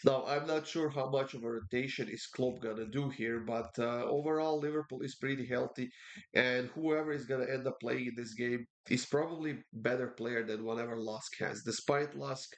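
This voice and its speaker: A man's voice: medium pitch, fairly even intonation. Congested man giving betting picks. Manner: halting, many uh fillers and repeats. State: congested from a cold. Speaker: sports betting tipster. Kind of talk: casual betting preview talk.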